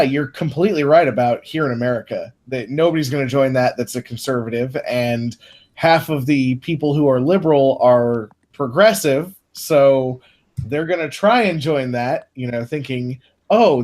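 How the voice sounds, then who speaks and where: A man's voice: medium pitch, darkish tone. A man chatting on a group stream, rambling and hedging with fillers. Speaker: stream co-host. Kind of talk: casual group chat.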